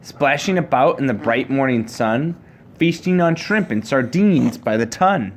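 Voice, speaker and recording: A man's voice: medium pitch, lively intonation. Man reading a storybook, noisy recording. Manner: measured rhyming storybook delivery. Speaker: father reading to his child. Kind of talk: reading a children's picture book aloud.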